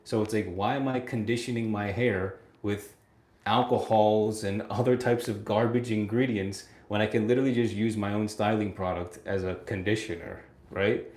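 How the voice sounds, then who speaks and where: A man's voice: on the low side, fairly even intonation, normal speed, a little mellow, roomy sound. A man in his late twenties hosting a casual livestream, answering viewer comments, laughing often and tagging his sentences with "right?". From United States. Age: 20s. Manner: laughs often, chatty, repeats phrases, tag "right?". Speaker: livestream host.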